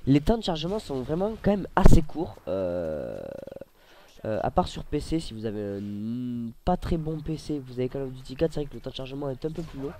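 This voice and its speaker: A man's voice: medium pitch, lively intonation, fairly quick, mellow. A man with a cold, commentating casually over his gameplay at a desk mic, rambling and hesitant with many fillers. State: has a cold. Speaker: gaming YouTuber.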